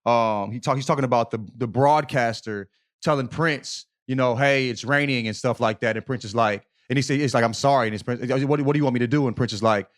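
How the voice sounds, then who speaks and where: A man's voice: medium pitch, a little fast. A man co-hosting a podcast, chatting casually and earnestly about a book, with effusive, loose, profane phrasing. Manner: profane, repeats "you know what I'm saying". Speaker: podcast co-host. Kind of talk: casual book club chat.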